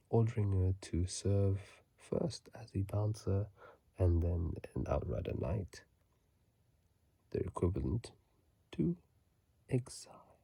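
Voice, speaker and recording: A host putting on a deep gravelly lore whisper. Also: dry recording, full character voice. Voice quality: raspy, gravelly low voice. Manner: slow, hushed, drawn-out delivery with throat clearing. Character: gravelly, hushed lore narrator voice. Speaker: ASMR gaming channel host. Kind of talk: ASMR reading of game item lore and tips. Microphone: phone microphone.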